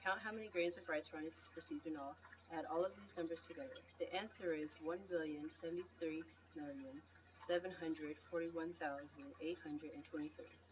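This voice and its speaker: Woman, low voice, storybook read-aloud, distant mic, faint background noise. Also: steady tone, a little fast. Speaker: reader at a read-aloud event. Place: school library. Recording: distant mic, noisy room.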